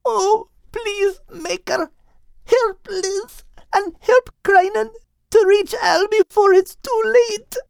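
A man's voice: very high-pitched, steady tone, slow, creaky, darkish tone, no room sound, clean studio sound, pitched above the performer's own voice, heavily acted. A man voicing a tearful, caring female companion in a storybook who pleads as she prays. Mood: pleading.

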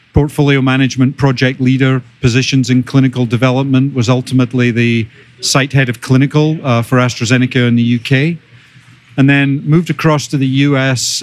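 Middle-aged Scottish man being interviewed. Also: medium pitch. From Scotland. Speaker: podcast guest, biotech executive. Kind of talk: conversational interview answers.

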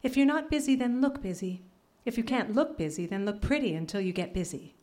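Actress as mellow-voiced, no-nonsense office manager. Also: female voice, on the high side, a little fast, natural voice. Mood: brisk instructing. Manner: crisp, instructive, deadpan. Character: pragmatic, no-nonsense female office manager, wry and cynical. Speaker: actor. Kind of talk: live radio drama acting.

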